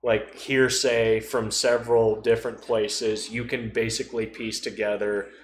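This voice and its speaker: A man's voice: fairly low pitch, flat delivery, unhurried, roomy sound, a little hiss or hum. A man in casual podcast conversation about tabletop role-playing, with a dryly joking, conversational way of talking. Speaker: podcast speaker.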